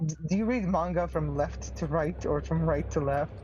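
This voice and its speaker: A woman's voice: low voice, mellow, dull audio, a little hiss or hum. A woman from Israel, a native Arabic speaker, chatting casually on a game stream, often stopping and restarting her words. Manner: halting, restarts words, giggly. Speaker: streamer's partner and co-host.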